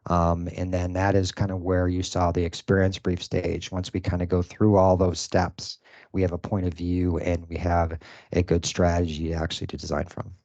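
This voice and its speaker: Low flat-voiced man presenting. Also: normal speed, no echo. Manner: relaxed, with many um fillers. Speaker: design presenter and event host. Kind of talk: conference presentation. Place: event venue.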